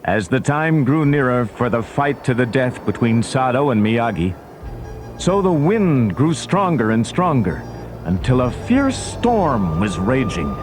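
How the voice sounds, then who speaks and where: A man's voice: mid-range voice, sing-song, unhurried, music in the background. A man narrating a children's story recording from a script in a dramatic storytelling style.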